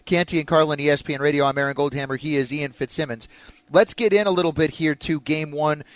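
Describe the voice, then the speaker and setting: A man's voice: a little high, fairly even intonation, quick pace, dry recording. An American man hosting a sports talk radio show, discussing games in a conversational way.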